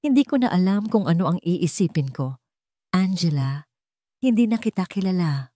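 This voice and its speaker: A woman's voice: fairly low pitch, animated, normal speed, dark tone, no echo. A bitter, wounded husband in an audio drama , played by a woman. He is accusing and commanding, with a mocking edge. The sound is dry and close. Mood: hurt accusing.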